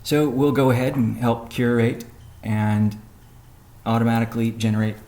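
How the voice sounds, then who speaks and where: A man giving a measured, businesslike update on a conference call, pausing between phrases as he reads out points and answers questions. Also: mid-range voice, flat delivery, darkish tone. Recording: noisy conference call audio.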